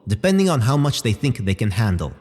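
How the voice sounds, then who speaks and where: A husky, echoing AI, Gal. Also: male voice, lowish voice, sing-song, darkish tone. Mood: measured, formal. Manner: measured, formal, precise reporting. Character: Gal, calm obliging male artificial intelligence. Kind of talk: scripted sci-fi audio drama. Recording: long reverberant tail.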